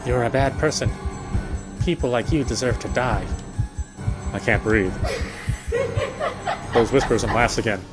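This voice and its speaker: A man streaming a game, reading its text aloud as measured, dramatic narration with occasional stumbles. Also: medium pitch. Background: game music.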